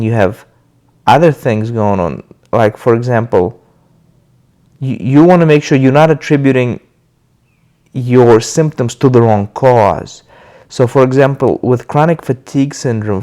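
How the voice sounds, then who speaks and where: Casting note: man, mid pitch, stuffy from a cold, easy unscripted talk with frequent fillers. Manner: relaxed, loose, with many uh fillers and restarts. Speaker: video host sharing his recovery. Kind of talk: casual talk to camera.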